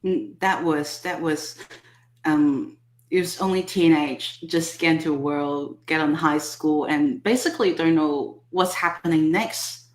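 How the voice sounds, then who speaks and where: A woman in her thirties with a Hong Kong accent, a native Cantonese speaker telling her personal story in a soft, measured way as an interview guest. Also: low-pitched, reverberant. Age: 30s. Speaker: guest, subconscious healing specialist.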